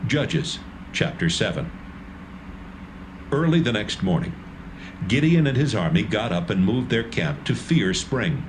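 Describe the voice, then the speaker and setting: A man narrating a dramatised Bible reading in a measured, expressive way. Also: on the low side, noisy background. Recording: music underneath.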